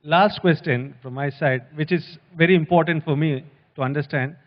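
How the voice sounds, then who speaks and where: A man's voice: on the high side, moderate pace. A man interviewing a guest on stage, asking formal, polite questions. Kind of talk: onstage interview. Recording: echoey hall, dull sound.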